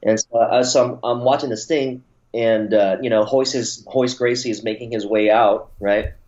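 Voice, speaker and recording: A man's voice: medium pitch, steady tone, moderate pace, darkish tone. A man in his forties, born in Taiwan and raised in the United States, telling his story in a rambling way as an interview guest, with many fillers and restarts. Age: 40s. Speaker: interview guest, martial arts promoter. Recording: dull lo-fi sound.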